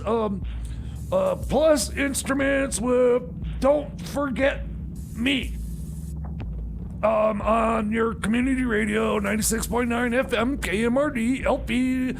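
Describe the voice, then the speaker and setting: A man playing a flustered, grumpy, bumbling radio DJ who stammers and rambles haltingly through his music show. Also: high-pitched, creaky, slight background noise. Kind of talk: comedic community radio DJ chatter.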